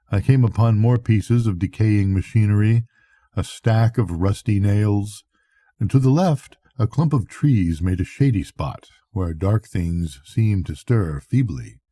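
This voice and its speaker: Male narrator, grandfather age, lowish husky voice, measured literary bedtime reading. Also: warm tone, no echo, studio quality. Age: older, a grandfather. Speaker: audiobook reader.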